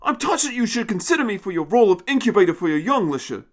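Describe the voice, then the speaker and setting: A man's voice: high-pitched, sing-song, fast, pitched above the performer's own voice. A man voicing an earnest, anxious young man in an audio story, speaking in a polite, hesitant, pleading way. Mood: careful, uneasy. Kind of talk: audio short story character.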